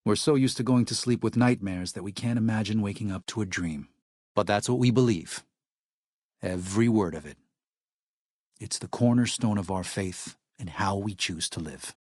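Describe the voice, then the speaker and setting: A man's voice: lowish voice, normal speed, darkish tone, no echo, studio quality, lightly acted. An actor playing a devout, weary missionary man in a video game, speaking with earnest gravity. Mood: earnest, reverent. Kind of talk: video game character dialogue.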